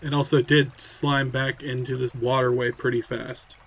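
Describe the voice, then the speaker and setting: A man's voice: mid-range voice, flat delivery, normal speed, fairly bright tone. A man playing a tabletop roleplaying game, talking casually through his character's plans.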